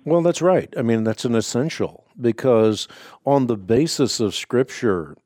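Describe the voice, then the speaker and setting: A man hosting a radio call-in show, native Dutch speaker, teaching in a measured, emphatic, preacherly way. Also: medium pitch, darkish tone, dry recording, studio quality. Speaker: radio host answering callers.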